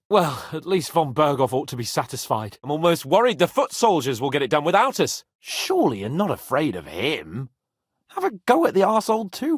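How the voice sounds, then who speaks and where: A man's voice: a little high, breathy edge, no echo, studio quality, heavily acted. A man playing a cocky, hot-tempered young medieval nobleman in a video game, loyal but boastful, with a swaggering, teasing manner. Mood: indignant, exasperated. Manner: swaggering, teasing, colourful swearing. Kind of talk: video game character acting.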